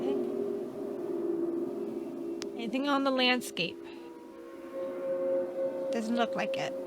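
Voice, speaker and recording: A woman with a fairly high voice talks at a slow, unhurried pace over loud game music. She gives casual playthrough commentary and reads on-screen text aloud. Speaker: gamer narrating a playthrough.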